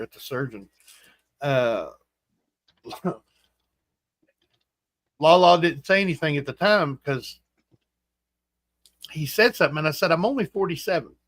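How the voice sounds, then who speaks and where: A man in his forties hosting a casual livestream chat, rambling and halting with fillers and restarts. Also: on the high side, a bit bright, dry recording. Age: 40s. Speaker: host.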